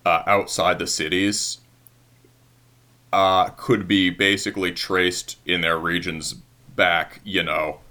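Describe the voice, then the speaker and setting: A man's voice: low voice, level tone, slow pace, bright, forceful tone. A man interviewing a guest in casual conversation, rambling with many hedges and fillers and laughing easily.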